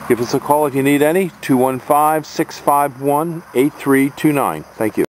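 A man's voice: on the high side, moderate pace, slight background noise. A man who runs a tree farm, talking plainly and folksily about a trade offer, with some fillers. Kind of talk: casual promo talk.

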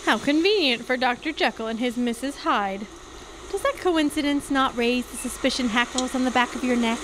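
A woman's voice: high voice, normal speed, noisy background, close to an everyday voice. A young woman playing a sassy, suspicious female private eye in a 1940s-style radio drama, with an arch, wry manner. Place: live on a stage before a curtain. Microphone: stand microphone.

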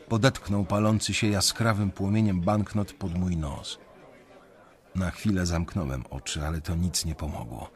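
A man plays a conscience-stricken young banker in a radio drama. He has a fairly low voice and tells his own story in a measured, brooding way. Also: natural voice. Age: young adult. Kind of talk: first-person radio drama narration.

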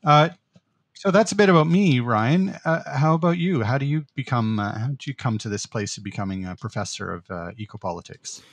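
A middle-aged man, born in the Netherlands, co-hosting a podcast as a professor, speaking thoughtfully with many pauses and restarts. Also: medium pitch, expressive, dry recording. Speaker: podcast co-host, political science professor. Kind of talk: casual conversational podcast.

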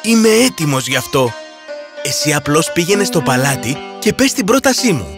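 A performer playing an eager, lovestruck, boyish young man with earnest delivery in an animated fairy tale. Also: medium pitch, music in the background. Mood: confident.

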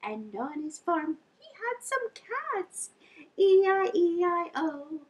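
A middle-aged woman with a high voice hosts a children's storytime. She is animated and encouraging, moving between singsong verses and simple directions for little listeners. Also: takes it slowly. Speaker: children's library storytime host. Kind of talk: sing-along storytime for young children. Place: indoor lounge with couch and fireplace.

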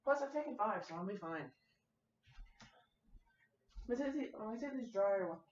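A woman's voice: on the high side, lively intonation, normal speed, highs cut off, slight background noise. A young woman chatting casually and rambling to her viewers in an irreverent, foul-mouthed, self-mocking manner. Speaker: host of a fan fiction reading channel.

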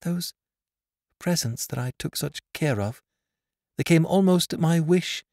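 A man's voice: a little high, measured pace, a little mellow, dry recording, clean studio sound, pitched above the performer's own voice. A man voicing a young blind woman who pleads in a raised, strained voice. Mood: pleading.

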